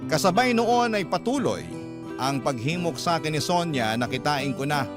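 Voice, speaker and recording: A man's voice: a little high, lively intonation, normal speed, close to an everyday voice. An actor narrating as Makoy, telling his story steadily in a radio drama. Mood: reflective. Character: Makoy as adult narrator looking back. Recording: guitar music under narration.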